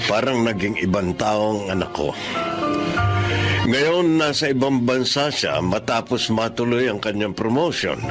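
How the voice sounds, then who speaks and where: Casting: tender, once-critical middle-aged dad for radio drama; emphatic, very animated intonation over music. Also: male voice, on the low side. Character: regretful, once-critical Filipino father, earnest and tender. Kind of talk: radio drama acting and first-person narration.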